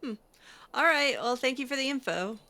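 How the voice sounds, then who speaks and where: A woman with a bright voice gives casual in-character game commentary. Her pitch moves around a lot as she drops sarcastic, skeptical asides while roleplaying. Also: animated, normal speed. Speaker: video game player roleplaying as a mage character.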